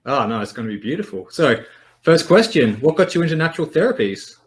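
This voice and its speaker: A man's voice: a little high, sing-song, quick pace. Upbeat man hosting over a headset. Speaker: podcast host. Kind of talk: friendly interview hosting. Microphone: headset on video call.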